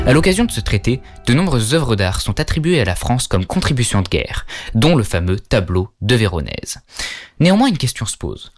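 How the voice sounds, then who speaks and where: A man tells the story of a famous painting in a scripted, explanatory narration. He speaks at a middling pitch and turns to the viewer with quick questions and wry asides. A clear music bed plays under his voice. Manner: addresses viewers directly with quizzes and asides. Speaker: educational video narrator. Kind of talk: scripted art-history narration.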